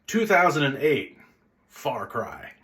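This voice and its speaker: A man's voice: mid-range voice, sing-song, slow, a bit bright. A man hosting a movie review video in a casual, unscripted style, blunt and conversational, wandering into tangents.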